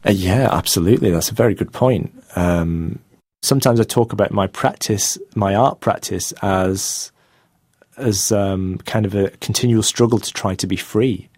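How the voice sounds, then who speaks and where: Manchester man, low voice, hesitant. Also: moderate pace, a little mellow, dry recording, clean studio sound. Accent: Manchester English. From England. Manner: hesitant, with many fillers and restarts, laughing often. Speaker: guest artist. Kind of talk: casual interview chat.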